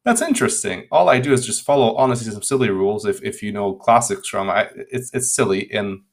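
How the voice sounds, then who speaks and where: A man's voice: lowish voice, lively intonation, brisk. A man in his thirties from Hungary with a Hungarian accent, answering interview questions over a video call, often repeating words as he restarts sentences. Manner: frequent word repetitions and restarts. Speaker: guest speaker. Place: home room. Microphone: laptop webcam video call.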